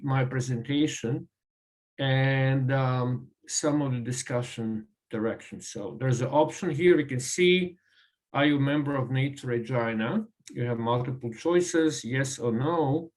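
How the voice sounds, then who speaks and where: Man, Croatian accent, guest speaker, mid-pitched voice, halting with many fillers, video call presentation. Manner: halting, with frequent ums and uhs. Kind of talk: online presentation.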